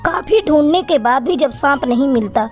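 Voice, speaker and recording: Female storyteller for kids' cartoon: high register, scripted narration over light music. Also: expressive, normal speed.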